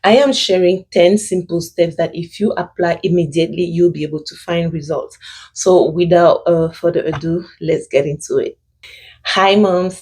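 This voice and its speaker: A woman's voice: on the low side, a little mellow, slightly rough. Hesitant woman with a thick French-speaking accent. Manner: hesitant, many fillers and restarts. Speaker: vlogger. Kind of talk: casual personal finance advice.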